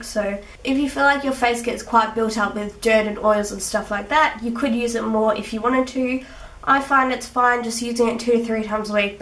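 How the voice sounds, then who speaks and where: A young woman with a sick, husky rough voice, chatting through her skincare routine with little laughs. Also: fairly high pitch, a bit bright. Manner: chatty, giggly, with fillers. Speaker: beauty vlogger. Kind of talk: casual skincare tutorial. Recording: echoey room, mic at a distance.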